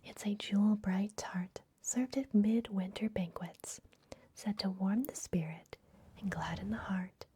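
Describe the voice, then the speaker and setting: A woman playing a gentle, secretive vampire librarian in an ASMR fantasy roleplay, speaking in a soft, breathy, confiding whisper. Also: medium pitch, fairly even intonation, slight background noise, close to an everyday voice. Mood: cozy, instructive. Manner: gentle, hushed, confiding, unhurried.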